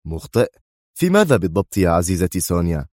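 A man's voice: sits low, expressive, fairly quick, a little mellow, no room sound, studio quality. A man playing a tense, mocking, hot-tempered male spy rival in an audiobook thriller.

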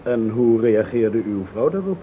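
An actor playing a calm, courteous and probing police interrogator in a radio drama, with a Netherlands Dutch accent. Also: male voice, medium pitch, unhurried, close to an everyday voice. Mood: measured, probing. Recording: tinny, noisy old radio recording.

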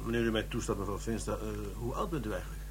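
An actor with a Netherlands Dutch accent playing a down-to-earth, opinionated police detective in a radio drama, matter-of-fact and colloquial. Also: male voice, on the low side, racing, background noise, natural voice. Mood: careful questioning.

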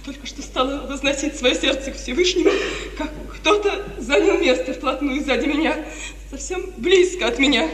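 A woman's voice: high-pitched, normal speed, crowd noise, close to an everyday voice. An actress playing a frightened, pious young woman, agitated and impassioned in 1950s Russian radio theatre. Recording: reverberant, faintly noisy 1950s radio recording, distant.

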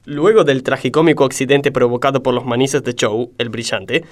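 A man's voice: medium pitch, fairly even intonation, normal speed, voice pushed down, lightly acted. A man playing the announcer who narrates a comic crime radio serial in a declamatory manner. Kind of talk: scripted radio play narration.

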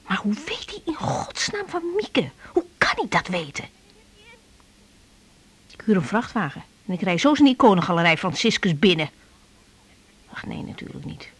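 A woman's voice: fairly low pitch, slightly rough, full character voice. A woman playing a troubled, strong-willed young doctor in a radio drama, introspective and muttering, with a bitter edge. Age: young adult. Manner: introspective, muttering to herself, bitter when provoked.

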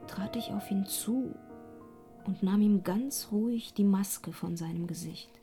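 An actress playing a sorrowful young woman who narrates in a hushed, intimate radio play style. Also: mid-range voice, lightly acted. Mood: tender sorrow. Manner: hushed, slow, deliberate. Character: sorrowful young woman quietly recounting her ordeal. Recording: guitar and piano music behind. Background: guitar and piano.

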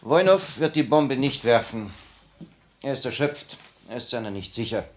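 An actor playing the grave, resolute leader of a revolutionary cell in a 1950 radio play, speaking with measured authority. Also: male voice, mid-range voice, expressive, lightly acted. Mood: restrained, firm. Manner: measured, authoritative theatrical delivery.

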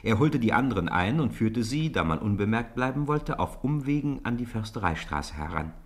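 A man's voice: medium pitch, big pitch swings, moderate pace. A man playing the storybook narrator of schoolboy adventures in a 1950s German radio play. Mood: storytelling.

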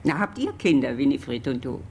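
Creaky, low-voiced old lady. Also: very animated, moderate pace, darkish tone, a little hiss or hum, full character voice. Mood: chatty. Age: older. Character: talkative old lady visiting from the countryside. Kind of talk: radio drama acting.

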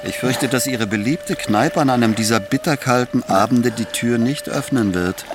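An actor playing a coolly cruel Soviet villain in a radio drama, taunting with mock politeness. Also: male voice, mid-range voice, normal speed, background noise, lightly acted. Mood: mocking menace. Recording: music behind the voice. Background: music.

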